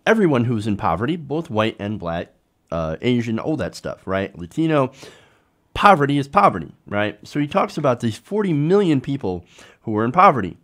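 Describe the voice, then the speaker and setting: A man's voice: medium pitch, big pitch swings, normal speed, fairly bright tone. A man hosting a political commentary show, talking informally to viewers in a conversational way with rhetorical tag questions.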